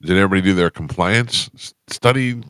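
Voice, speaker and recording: A middle-aged radio host with a very low voice, congested and breathing through his mouth. He banters dryly with his co-hosts in a close, dead studio sound. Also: brisk, fairly bright tone, clear, resonant tone, dry recording, rough recording. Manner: wry, bantering. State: stuffed-up nose. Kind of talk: casual morning radio chat.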